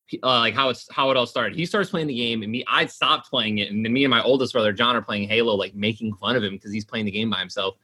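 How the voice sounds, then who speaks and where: A man's voice: medium pitch, quick pace, few pauses, pushed and bright, slightly rough. Rambling man in his twenties. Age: 20s. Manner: rambling, many fillers and restarts. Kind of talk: casual chat.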